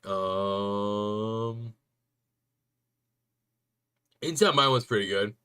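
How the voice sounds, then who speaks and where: A young man rambling casually to viewers on a stream, chatty and quick to laugh. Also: fairly low pitch, bright, forceful tone, smooth.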